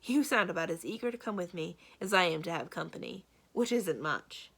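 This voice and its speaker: A headstrong, practical young princess from a storybook, read aloud by a woman. She is brisk and sure of herself, with lively swings in pitch and a slightly husky, creaky edge. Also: heavily acted. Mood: frank, amused. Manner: brisk, retorting, self-assured.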